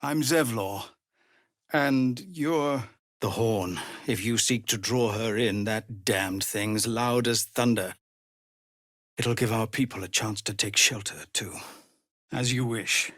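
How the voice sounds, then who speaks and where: An actor playing a weary, dutiful leader of refugees in a video game, speaking in an earnest, measured, formal manner. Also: male voice, on the low side, fairly bright tone, no echo, clean studio sound. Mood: grave, earnest. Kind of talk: dramatic video game voice acting.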